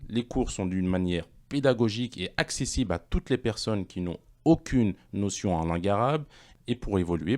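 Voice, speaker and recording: A man presenting an online language course, reading questions and answers in a measured, explanatory way. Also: mid-range voice, darkish tone.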